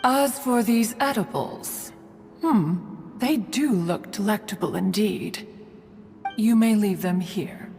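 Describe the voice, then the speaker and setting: A woman's voice: medium pitch, slow, a little mellow, long reverb tail, faint music behind. A woman playing a proud, doting old immortal mentor in a video game, speaking formally and archaically of herself as "one". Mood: caring, dignified. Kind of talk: scripted video game voice acting.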